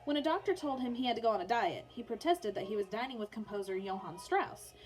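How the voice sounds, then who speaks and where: A woman's voice: a little high, lively intonation, fast, soft background music, poor audio. A woman teacher reading a children's biography aloud for online learners, in storybook narration with informal, chatty asides.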